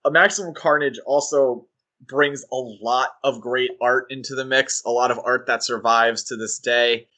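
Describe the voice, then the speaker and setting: Man, podcast co-host, casual chat, bright tense voice, rambling with restarts. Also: medium pitch, normal speed, poor audio. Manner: animated, rambling, with fillers and restarts.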